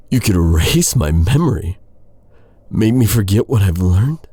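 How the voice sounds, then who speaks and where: A man playing a guilt-ridden, devoted knight in a dark fantasy audio roleplay, speaking in an earnest, dramatic, halting way. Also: medium pitch, no echo, heavily acted. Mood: anguished outburst. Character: brooding, guilt-ridden male knight, bitter yet tenderly devoted.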